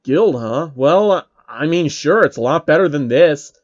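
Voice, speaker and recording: A man voicing a hot-headed young male player who shouts and swears when things go wrong. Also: on the high side, lively intonation, a little slow, lo-fi sound. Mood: offhand. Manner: loud, angry outbursts, swearing.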